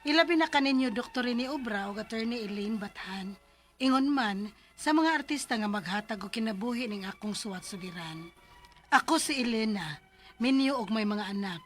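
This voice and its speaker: Radio drama role: woman playing tender, worried middle-aged Bisaya mother, fairly high voice, music underneath. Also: animated, normal speed, lightly acted. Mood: earnest, recounting. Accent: Filipino, Bisaya.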